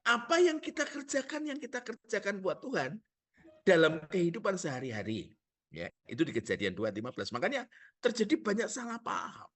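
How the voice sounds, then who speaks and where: Male pastor, Javanese accent, lively preaching with jokes and questions, husky tone. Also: high voice, fairly quick. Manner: animated, rhetorical questions and jokes to the congregation. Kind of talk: sermon.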